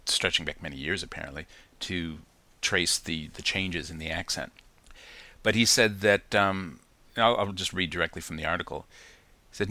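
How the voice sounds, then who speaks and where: A man's voice: low voice, bright, forceful tone. A man hosting a casual solo podcast from New Zealand, chatty and reflective, with many ums and restarts. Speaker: podcast host.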